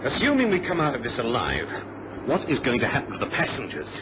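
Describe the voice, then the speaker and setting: A man's voice: mid-range voice, huge pitch swings, fast talker. A man playing a questioning, skeptical co-pilot in a radio drama. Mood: alarmed, protesting. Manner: questioning, protesting. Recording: noisy, dull vintage radio recording.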